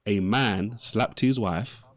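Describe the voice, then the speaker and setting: Persistent, low-voiced man cross-examining. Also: slow pace. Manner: persistent, interrogating, repeats questions. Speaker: debater questioning an opponent. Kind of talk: live religious debate.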